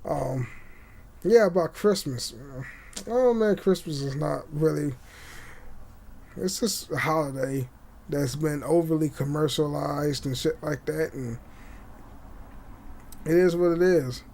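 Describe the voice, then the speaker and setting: Young adult man, slightly high voice, casual podcast host, halting delivery, repeated words, sweary, laid-back. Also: creaky, darkish tone, slight background noise. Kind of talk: casual rambling chat with swearing. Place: small home room indoors. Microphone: condenser mic on boom arm.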